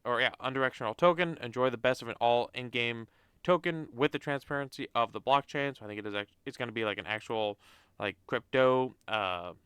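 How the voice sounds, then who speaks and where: A man's voice: mid-range voice, fairly quick, a bit bright, smooth, no room sound. A young man with a stuffy nose from a cold, casually talking through a website on screen with lots of fillers and restarts. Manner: rambling, many fillers and restarts. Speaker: crypto channel host. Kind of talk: casual screen-share walkthrough.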